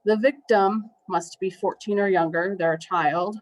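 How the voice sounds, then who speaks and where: A woman's voice: medium pitch, steady tone, unhurried, rough recording. A woman with an American accent presenting a legal training, speaking with ums and an occasional wry remark. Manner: wry, with brief laughs and ums. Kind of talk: slide-based legal training.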